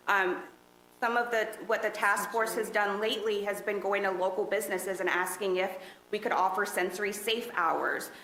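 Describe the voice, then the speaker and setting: A woman presenting to a city council work session, speaking loudly in a relaxed, conversational way with many fillers. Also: mid-range voice. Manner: loud voice. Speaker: task force presenter. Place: council meeting room. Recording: echoey meeting room with faint noise.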